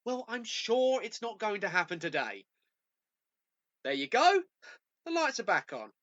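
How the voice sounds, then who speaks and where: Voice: mid-range voice, wildly swooping pitch, measured pace, a bit bright, muffled recording. An amateur actor with a British accent playing an indignant, sarcastic, accusing train passenger in a radio play. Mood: dismissive. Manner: sarcastic, scolding. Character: indignant, accusing, no-nonsense London Tube passenger.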